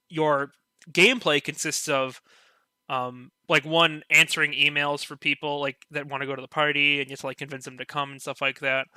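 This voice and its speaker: A man you'd hear on a hobbyist podcast, sharp-edged voice in a dry space, meandering eagerly through his point. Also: fairly high pitch, no echo. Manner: rambling, peppered with filler "like". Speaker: podcast panelist. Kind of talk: casual discussion.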